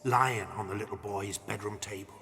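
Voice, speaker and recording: An actor playing a gentle, timid old giant in an audiobook drama, speaking earnestly in muddled, homely grammar. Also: male voice, on the low side, steady tone, normal speed, big-room sound, lightly acted. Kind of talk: full-cast audiobook dramatization.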